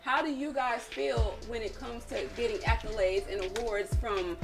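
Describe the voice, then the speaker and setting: A woman with a high voice leads a casual podcast discussion. She is emphatic and swears freely, restating her points over clearly audible music. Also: fairly even intonation, moderate pace. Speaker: podcast host leading the discussion.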